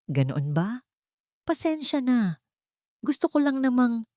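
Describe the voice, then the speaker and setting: A woman voicing a gentle, humble elderly mother-in-law from the province, meek, hesitant and affectionate, in an audio drama story. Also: on the high side, sing-song, slow, no room sound, clean studio sound, pitched above the performer's own voice. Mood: hurt, meek.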